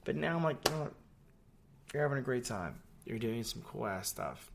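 A young man from New York, a podcast guest, chatting casually in a rambling, animated way with many fillers. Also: mid-range voice, normal speed, gravelly, background noise. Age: young adult. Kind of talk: casual chat.

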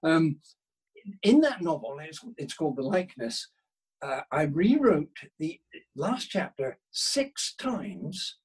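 Husky-voiced man, animated and halting. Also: on the high side, poor audio. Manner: halting, rambling with self-interruptions and asides. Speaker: guest, novelist. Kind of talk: casual interview chat about writing.

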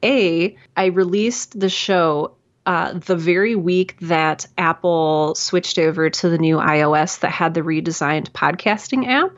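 A woman's voice: lowish voice, measured pace. Chatty woman on a dull lo-fi line. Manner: bubbly, chatty, laughs often, many fillers. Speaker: guest, audio drama creator. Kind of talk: casual conversational interview.

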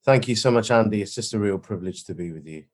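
A man in his forties with a slight Welsh accent, a former pastor, telling his story as a podcast guest in a self-deprecating, unhurried way. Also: fairly low pitch, darkish tone. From Wales. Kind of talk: casual storytelling.

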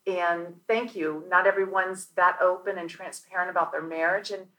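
A woman born in the Netherlands, hosting a podcast and telling stories in a chatty, animated way. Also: lowish voice, moderate pace, poor audio. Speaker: podcast host. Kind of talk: casual interview chat.

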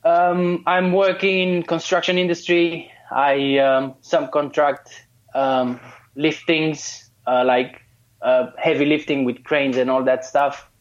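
A man's voice: a little high, flat delivery, takes it slowly. Hesitant man, muffled audio. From Romania. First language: Romanian. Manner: hesitant, many fillers and restarts, laughs often. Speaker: guest, course student. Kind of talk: casual interview.

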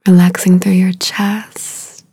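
A woman leading a guided meditation in a soothing, unhurried way, with a soft, breathy voice and long pauses between short phrases. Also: lowish voice, little pitch movement, no room sound, clean studio sound.

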